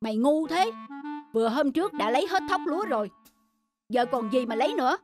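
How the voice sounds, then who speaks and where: A man's voice: extremely high pitch, lively intonation, brisk. A man voicing a huge, greedy mountain demon, the domineering older brother in a cartoon. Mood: scolding. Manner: domineering, scolding. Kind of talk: cartoon voice acting. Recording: background music under the dialogue.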